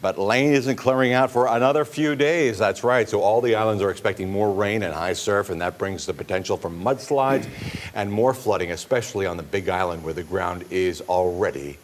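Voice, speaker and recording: A man's voice: on the low side, normal speed, slight background noise. An American man anchoring a TV newscast, reading scripted news stories in a studio. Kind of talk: scripted newscast with brief chat.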